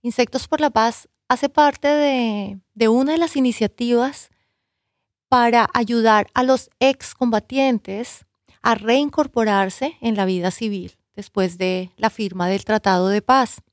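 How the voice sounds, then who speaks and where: Colombian woman, higher voice, explaining earnestly. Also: slow, no room sound, clean studio sound. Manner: earnest, explanatory, with some hesitations. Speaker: researcher and university professor. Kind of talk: interview answers.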